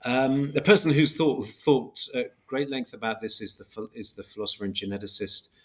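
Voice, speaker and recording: A man's voice: medium pitch, steady tone, fairly quick. A man speaking as an academic interview guest, thinking aloud in a hesitant, self-correcting, reflective way. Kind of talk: thoughtful interview discussion.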